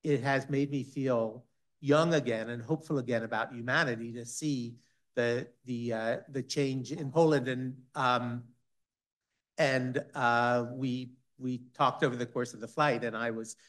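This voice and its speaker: A man on a discussion panel, recounting a personal story in a halting way with many restarts. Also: medium pitch, steady tone, fairly bright tone. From Israel-based.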